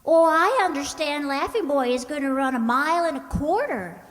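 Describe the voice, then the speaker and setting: Woman acting high-pitched, naive scatterbrained young woman. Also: expressive, moderate pace, fairly bright tone, long reverb tail. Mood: earnest, clueless. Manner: earnest, obliviously literal. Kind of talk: old-time radio comedy acting.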